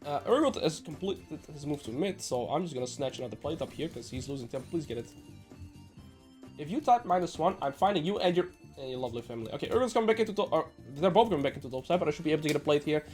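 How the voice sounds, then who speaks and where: A man from the Netherlands, sounding nasal and a little sick, giving brisk gameplay commentary as a coach with joking asides. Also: on the high side, lively intonation, darkish tone, faint music behind. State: slightly sick. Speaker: game coach.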